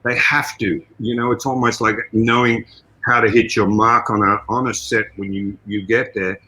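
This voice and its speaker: Bright-voiced older Australian man. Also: lowish voice, normal speed, poor audio. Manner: animated, expansive storyteller. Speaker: guest, martial arts trainer. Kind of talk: podcast interview.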